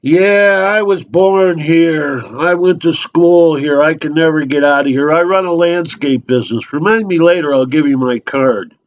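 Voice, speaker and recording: A man's voice: a little high, a little slow, few pauses, muffled recording, pitched above the performer's own voice, lightly acted. A man playing a talkative, oversharing small-town local at a bar in a rambling, eager dramatic monologue. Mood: nostalgic, rambling.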